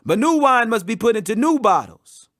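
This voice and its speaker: A man with a strikingly high voice reads a Bible chapter aloud. He reads earnestly and steadily, lifting his voice whenever he speaks the quoted words. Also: big pitch swings, moderate pace, a bit bright. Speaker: scripture reader.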